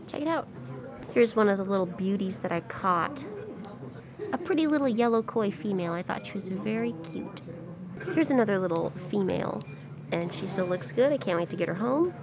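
Hoarse young female vlogger, excitable and chatty, self-filmed. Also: medium pitch, moderate pace, background noise. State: hoarse from shouting. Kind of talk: casual vlog to camera. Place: hotel room, then airport. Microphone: handheld camera at arm's length.

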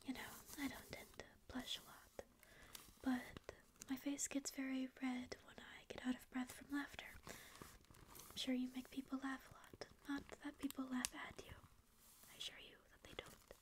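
Whispered ASMR demon, centuries old, acts angsty but cares, voiced by a woman; high, hushed, breathy close-mic delivery. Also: completely flat delivery, normal speed, lightly acted. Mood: soft reassuring. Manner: whispered, sarcastic teen-like angst, then soft reassurance. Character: centuries-old sleep paralysis demon, snarky yet caring. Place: dim indoor room with string lights. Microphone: close studio mic on camera.

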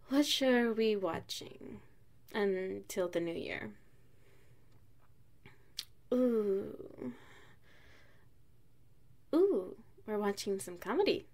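A woman playing an affectionate, playful wife in an ASMR roleplay, speaking in a soft, intimate manner. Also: medium pitch, expressive, very slow, long pauses. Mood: playful, cuddly. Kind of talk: ASMR roleplay, one side of a dialogue.

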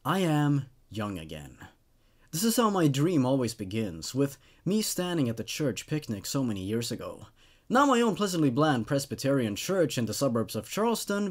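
Male host, twenties, mid-range voice, for horror story readings and off-the-cuff reviews. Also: mid-range voice. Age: 20s. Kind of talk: dramatic story reading and casual commentary.

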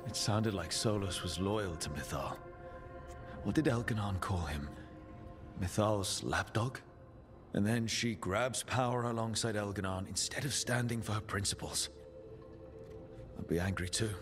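A man playing a level-headed, sympathetic adventurer hero in a fantasy game, casual, steady and practical in his talk. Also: fairly low pitch, unhurried, long pauses, close to an everyday voice. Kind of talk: conversational fantasy game acting. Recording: music clearly behind the voice. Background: music.

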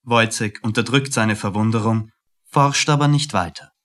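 Lowish-pitched male radio play narrator. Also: normal speed, fairly bright tone.

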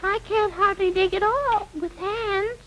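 Man acting little girl puppet, very high pitch, earnest, pleading, childlike, old children's film. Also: unhurried, a bit bright, a little hiss or hum. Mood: plaintive. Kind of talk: scripted children's educational puppet show. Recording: old 1960s film soundtrack, music behind.

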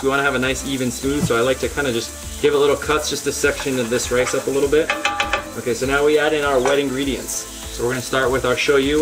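A man in his thirties hosting a home cooking demo, giving earnest step-by-step instructions with deadpan jokes, recorded on a lapel mic in a home kitchen. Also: mid-range voice. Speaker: cooking show host. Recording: music playing underneath.

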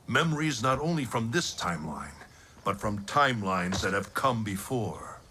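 An actor playing a solemn, commanding older thunder god in a video game, speaking with grave, formal diction. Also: male voice, on the low side, lively intonation, normal speed, sharp tone, slight background noise. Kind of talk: dramatic video game cutscene acting.

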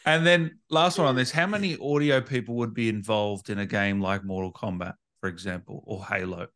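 A man hosting a casual interview, chatting informally and jumping in with questions and quick agreement. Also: lowish voice, a bit bright. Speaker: interviewer, gaming channel host.

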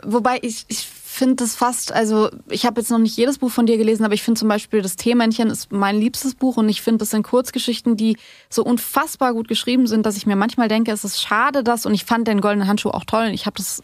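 A woman's voice: a little high, steady tone, brisk, no room sound, studio quality. A woman hosting a podcast, talking in a casual, conversational interview style.

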